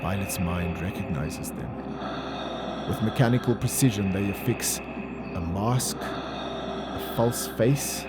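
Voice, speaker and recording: A man reading dramatic scripted narration in a measured, solemn manner. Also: on the low side. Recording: loud music under voice. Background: loud dramatic music.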